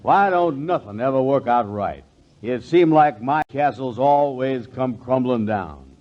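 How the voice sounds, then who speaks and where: An actor playing a gullible, self-important, slow-witted man in a 1940s radio comedy. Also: mid-range voice, lightly acted. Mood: dejected. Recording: muffled 1940s radio recording.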